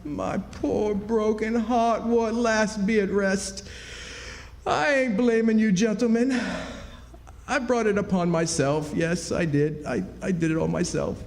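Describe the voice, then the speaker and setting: A man's voice: high-pitched, steady tone, unhurried, gravelly, a little mellow. A man acting a haughty, self-pitying swindler who poses as a wronged duke, in melodramatic old-time radio drama style. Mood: mournful, grandiose. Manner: melodramatic, mournful, grandly put-upon. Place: stage in a reverberant historic club hall. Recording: echoey hall stage performance with music.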